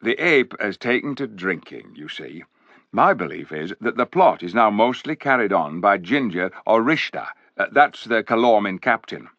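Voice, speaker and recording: Casting: a man playing a chatty loyal dwarf, folksy and rambling, lower voice, dull dry audiobook sound. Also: very animated, normal speed, fairly bright tone, no echo. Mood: earnest. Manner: folksy, rambling storyteller's telling. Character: chatty loyal male dwarf, blunt and homely.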